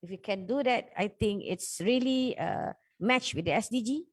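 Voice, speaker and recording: A woman from Malaysia speaking on a forum panel, earnest and halting as she lays out funding opportunities. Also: fairly high pitch, no echo, clean studio sound. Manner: earnest, with hesitations and restarts. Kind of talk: panel discussion.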